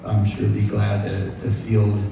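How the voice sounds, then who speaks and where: Low-voiced frontman on a concert stage. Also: completely flat delivery, gravelly, noisy background. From Nashville, USA. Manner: rambling, self-deprecating, halting with restarts. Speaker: band frontman. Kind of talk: onstage storytelling between songs. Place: large concert club stage. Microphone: stage vocal mic through PA. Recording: echoey live concert hall from far off.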